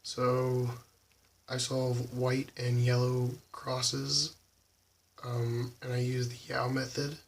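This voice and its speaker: A slightly hoarse young man casually talking through how he solves a puzzle cube, halting with lots of ums. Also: mid-range voice, monotone, distant mic, slight background noise. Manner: halting, many ums, soft and quiet. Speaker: YouTube cubing channel host. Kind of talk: casual tutorial walkthrough.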